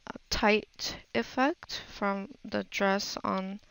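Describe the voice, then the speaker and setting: A woman narrating a casual art tutorial, unhurried and musing aloud as she works. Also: medium pitch, steady tone, gravelly, fairly bright tone, clean tone. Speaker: digital painting tutor.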